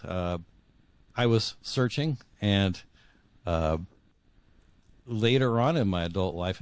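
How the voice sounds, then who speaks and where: A man's voice: lowish voice, darkish tone, no room sound. A middle-aged man being interviewed as an author, telling his personal story reflectively, often repeating words as he feels his way forward. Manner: halting, repeats words for emphasis.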